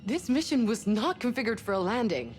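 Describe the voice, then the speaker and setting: A woman's voice: a little high, big pitch swings, fairly quick, a little mellow. A woman playing a caring, lightly teasing ship's doctor in a sci-fi game. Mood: concerned. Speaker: actor in a video game. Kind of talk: cinematic sci-fi game acting. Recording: long hall reverb, faint music behind.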